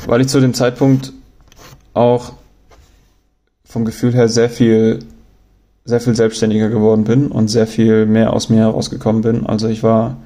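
A young man from Germany talking on his own in a relaxed, rambling way, often pausing with fillers and restarting sentences. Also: on the low side. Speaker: podcast host. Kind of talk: casual spoken monologue.

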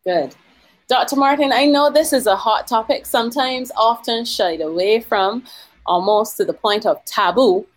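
A woman, a physician hosting a podcast, reading a formal introduction and then interviewing a guest in a measured way. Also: on the high side, no room sound.

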